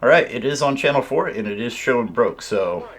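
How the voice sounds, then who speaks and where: A man's voice: mid-range voice, expressive, brisk, fairly bright tone. A man giving a casual hands-on product tutorial, explaining things informally step by step as a reviewer. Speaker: radio reviewer.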